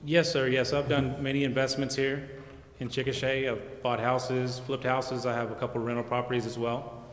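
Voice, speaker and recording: A man from Oklahoma with a medium-pitched voice answers questions politely and plainly as a local investor. His voice rings in a very echoey meeting room. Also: level tone, moderate pace. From Oklahoma, United States. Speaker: property investor addressing a council. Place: indoor council chamber. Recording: echoey council chamber.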